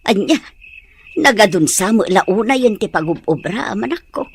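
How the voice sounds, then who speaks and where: A woman's voice: medium pitch, lively intonation, moderate pace, breathy edge. A woman playing a doting, worried mother of a grown son in an audio drama, fussing in Ilocano-accented speech. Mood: worried, tender.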